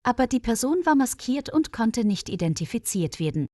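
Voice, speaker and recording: A woman's voice: on the high side, sing-song, moderate pace, dark tone, no echo, clean studio sound, voice pushed up. A woman narrator voicing a tired, worried female hospital colleague in a story, earnest and explanatory.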